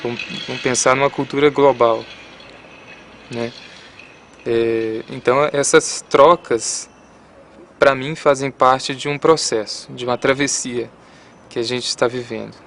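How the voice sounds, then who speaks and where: Um homem de Goiás, sem sotaque marcado, falando com calma e se questionando numa entrevista. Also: male voice, mid-range voice, unhurried, long pauses, a little hiss or hum. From Goiás, Brazil. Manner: calm, musing, asks himself questions. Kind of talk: reflective interview.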